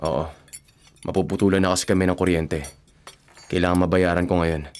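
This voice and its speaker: An actor playing a hard-up, skeptical younger brother who grumbles in exasperation, in a radio drama. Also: male voice, low-pitched, fairly even intonation, a little fast, a little mellow, smooth, lightly acted. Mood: exasperated.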